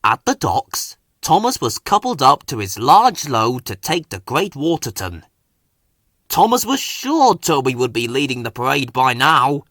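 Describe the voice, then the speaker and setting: Slow, expressive male children's storybook narrator. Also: mid-range voice, no echo, clean studio sound.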